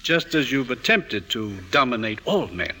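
Bright, husky, swooping radio psychiatrist. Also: male voice, medium pitch, big pitch swings, exaggerated voice. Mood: probing, firm. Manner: measured, declarative, insistent. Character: probing, authoritative male psychiatrist, firm and analytical. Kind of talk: 1950s radio drama acting. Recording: reverberant vintage radio broadcast.

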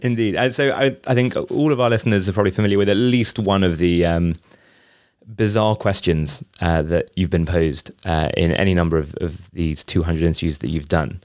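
Deep-voiced man hosting an interview. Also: steady tone, a little mellow, dry recording, studio quality. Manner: halting, many fillers and restarts. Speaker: podcast host. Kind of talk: interview questions.

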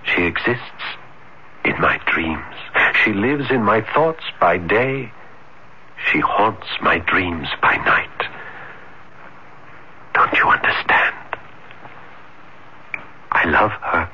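An actor in an old radio drama playing a lonely, shy, obsessive romantic who speaks in halting, fervent repetitions. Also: male voice, low voice, animated, pushed and bright, exaggerated voice. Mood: dreamy, fervent. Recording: dull, noisy vintage radio broadcast.

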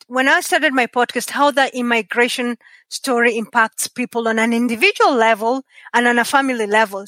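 Bright high-voiced Kenyan woman. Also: no echo. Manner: expressive storyteller, measured and earnest. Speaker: podcaster guest. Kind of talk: conversational interview.

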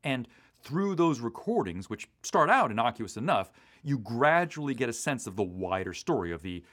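A man's voice: medium pitch, very animated, normal speed, fairly bright tone. American man, podcast co-host, very varied intonation, articulate, explanatory, conversational delivery. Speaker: podcast co-host and audio drama writer.

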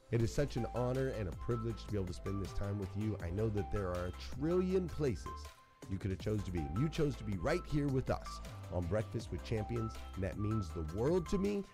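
A man hosting a podcast and delivering an upbeat, motivational intro speech. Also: low voice. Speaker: podcast host. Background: music.

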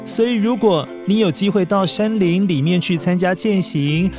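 A man's voice: high-pitched, normal speed, soft background music. A man with a Taiwanese accent telling a story expressively, speaking directly to young children.

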